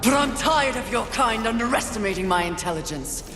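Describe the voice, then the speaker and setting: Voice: medium pitch, animated, brisk, natural voice. A performer voicing a fierce, impatient, defiant warrior in a video game cutscene, commanding and biting. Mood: cold, defiant. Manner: commanding, hot-tempered, biting. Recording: echoey reverb, loud game music behind.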